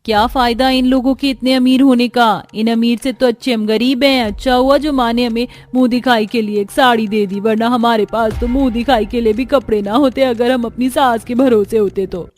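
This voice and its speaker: A woman's voice: a little high, fairly even intonation, moderate pace, hardly any pauses, darkish tone, lightly acted. A woman voicing an outspoken, indignant young daughter-in-law from a poor family in a cartoon story. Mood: complaining. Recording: music under the voice.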